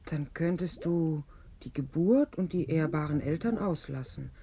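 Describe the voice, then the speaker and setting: An actress in a German radio drama playing a long-suffering, practical muse who is gently critical in a dry, matter-of-fact way. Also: female voice, deep voice, animated, unhurried, dull audio, slight background noise. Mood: sceptical.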